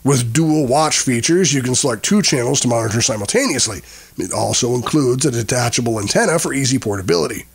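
Husky male product review narrator. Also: mid-range voice. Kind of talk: scripted narration.

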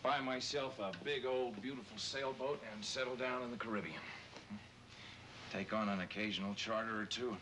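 A man's voice: mid-range voice, moderate pace, close to an everyday voice. An American man acting a bitter, sardonic, weary suburban husband of forty in a 1970s television drama. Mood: wry, weary. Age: forties. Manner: sarcastic, weary. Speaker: actor in a television film. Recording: noisy old TV film soundtrack.

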